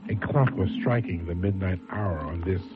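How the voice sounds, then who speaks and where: An actor narrating an eerie campfire tale as a brooding, solemn host with measured delivery. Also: male voice, low voice, close to an everyday voice. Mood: solemn, suspenseful. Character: brooding male storyteller setting an eerie campfire scene. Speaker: host narrator of a radio drama. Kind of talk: slow scripted Halloween story narration. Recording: dull radio recording, music behind.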